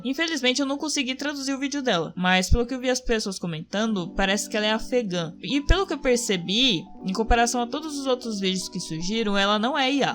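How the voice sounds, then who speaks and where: A woman's voice: on the high side, a bit bright. A woman with a Brazilian Portuguese accent, chatting casually in a commentary vlog and often tagging her sentences with a question. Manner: chatty, hedging with frequent "né?". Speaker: youtuber commenting on a trend.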